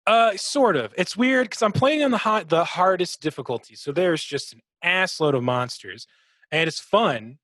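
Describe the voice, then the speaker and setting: A man with a slightly high voice chats casually as a podcast co-host about video games. He swears freely and throws in vocal sound effects. The sound is very dry, with no room around it. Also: animated, moderate pace, fairly bright tone, no room sound. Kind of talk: casual gaming chat.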